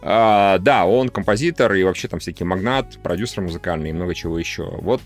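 A man's voice: deep voice, fairly quick. A man co-hosting a podcast, discussing films informally and swearing freely. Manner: informal, profane. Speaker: podcast co-host. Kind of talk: casual film discussion. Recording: music bed behind the voice.

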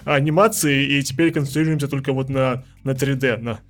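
A man co-hosting a podcast, chatting casually in a hesitant, halting way with repeated words. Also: medium pitch, steady tone, a bit bright. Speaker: podcast co-host. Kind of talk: casual discussion.

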